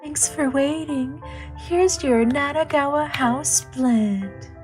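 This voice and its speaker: Woman acting a high, sweet young waitress. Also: darkish tone. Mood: polite, cheerful. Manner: courteous, drawn-out service lines. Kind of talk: visual novel character reading. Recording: music behind the voice.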